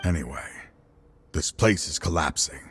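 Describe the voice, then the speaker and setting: An actor playing a weary older scholar and grieving father in a video game, solemn, measured and confessional. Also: a bass voice, expressive, loud music behind the voice. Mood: weary, tender. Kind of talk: dramatic video game voice acting.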